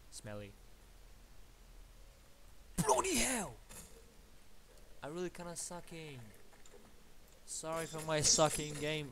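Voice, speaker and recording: A young man from Bulgaria with a Bulgarian accent, casually commentating his game at home, rambling and swearing playfully at enemies. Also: mid-range voice. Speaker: gamer commentating his play. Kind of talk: casual let's-play commentary. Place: at home at a computer. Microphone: awkwardly placed desktop mic.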